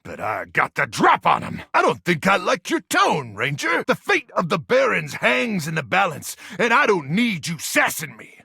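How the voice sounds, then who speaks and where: A man voicing a frazzled, paranoid soldier microwave in a video game, gravelly and intense, full of awkward military bluster. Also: high voice, sharp tone, no room sound, studio quality, exaggerated voice. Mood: apologetic, frazzled. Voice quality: gravelly, raspy. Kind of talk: animated video game voice acting.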